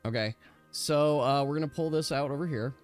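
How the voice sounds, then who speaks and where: Slightly high-voiced man running a game. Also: a little fast, soft background music. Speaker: game master. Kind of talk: casual tabletop game narration. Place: online voice call.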